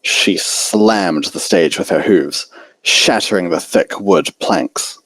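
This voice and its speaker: A man's voice: low-pitched, takes it slowly, vocal fry, a bit bright, breathy edge, dry recording, poor audio. A man reading fan fiction aloud as an amateur story narrator.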